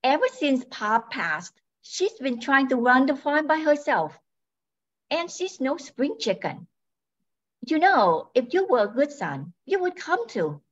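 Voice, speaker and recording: A sensible, no-nonsense sister in an old-time radio play, acted by a woman. Her voice is very high and swoops with scolding, and the sound is a bit dull. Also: big pitch swings, measured pace. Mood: exasperated. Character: sensible, scolding young farm-raised twin sister. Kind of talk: amateur old-time radio comedy-mystery acting.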